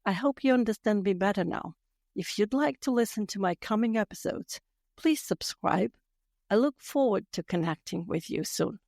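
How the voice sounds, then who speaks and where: A woman in her fifties from Latvia with a Latvian accent, hosting a podcast in a measured, carefully read monologue. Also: medium pitch, expressive, gravelly, a little mellow, no echo, clean studio sound. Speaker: podcast host. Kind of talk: scripted solo podcast monologue.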